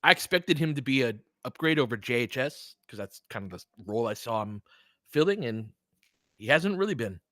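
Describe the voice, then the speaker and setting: Casting: American male sports streamer, bright forceful voice, close dry sound, informal play-by-play mixed with chat. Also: medium pitch, animated, fairly quick, no room sound. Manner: conversational, reacting to chat comments. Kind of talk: casual live sports commentary and chat.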